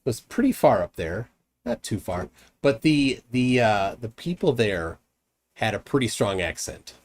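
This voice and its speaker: Man, minnesotan accent, call-in host, rambling, animated pitch. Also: a little slow. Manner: rambling, self-interrupting, chuckling. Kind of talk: casual late-night chat.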